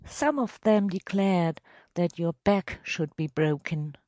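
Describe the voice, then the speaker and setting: A woman voicing a reluctant, deferential male village elder in a storybook reading, reporting in a measured, hesitant way. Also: on the low side, animated, mellow, dry recording. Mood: uneasy.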